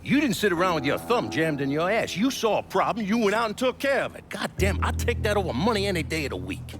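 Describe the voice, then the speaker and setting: Gregarious older crime boss for cinematic game, profane salesman patter, very lively intonation, music underneath. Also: high voice, fast. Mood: emphatic, approving. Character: gregarious, ruthless, foul-mouthed older male mob boss. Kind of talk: cinematic video game dialogue. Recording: music behind the voice.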